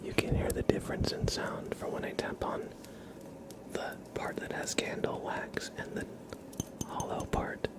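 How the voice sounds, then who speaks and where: An ASMR livestream host whispering casually to viewers in a soft-spoken, unhurried way.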